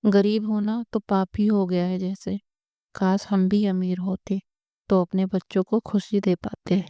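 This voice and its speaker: A woman plays a calm, resigned mother in a cartoon moral story. Her delivery is measured and even, in a smooth, mellow voice. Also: medium pitch, dry recording, highs cut off, close to an everyday voice. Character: calm, resigned elder daughter-in-law and mother. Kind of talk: Hindi cartoon story dialogue.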